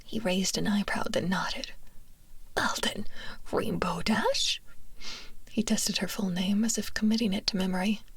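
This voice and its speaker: A woman narrates fiction in an ASMR style. Her whisper is very breathy and often creaky. She is recorded close on a binaural mic with a dry sound. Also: medium pitch, fairly even intonation, brisk, few pauses, no room sound. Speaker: ASMR streamer reading fan fiction. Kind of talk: whispered ASMR story reading. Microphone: close binaural microphone.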